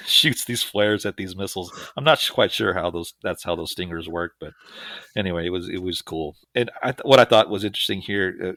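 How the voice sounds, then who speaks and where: A man's voice: lowish voice, creaky, sharp tone, dry recording. A man co-hosting a podcast, recapping a movie in casual, jokey chat with sarcastic asides. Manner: jokey, sarcastic, chuckling often. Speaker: podcast co-host.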